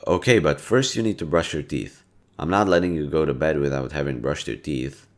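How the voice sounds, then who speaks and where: A man's voice: a bass voice, fairly quick. A man playing a kind, teasing, easily flustered young guy in a roleplay audio, talking casually and playfully straight to the listener. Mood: caring, gentle. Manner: playful, casual and slangy, with muttered asides.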